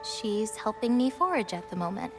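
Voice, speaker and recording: A woman voicing an earnest, gentle teenage girl in a video game, sincere and a little hesitant. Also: medium pitch, big pitch swings, moderate pace, music in the background, natural voice. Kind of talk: dramatic video game cutscene acting.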